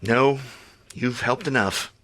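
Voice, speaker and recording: An actor playing a sardonic, middle-aged superhero in an audio drama. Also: male voice, mid-range voice, takes it slowly, pushed and bright, a little hiss or hum. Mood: sarcastic, weary. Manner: sardonic, incredulous. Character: exasperated, sarcastic aging male superhero facing decline.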